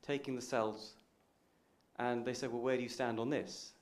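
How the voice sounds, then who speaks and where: Deliberate man asking an audience question. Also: medium pitch, steady tone.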